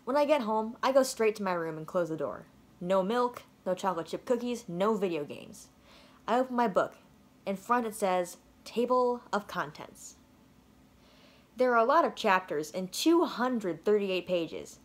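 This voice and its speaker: A woman reading a children's storybook aloud with playful, expressive narration. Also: mid-range voice, moderate pace. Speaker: storybook reader.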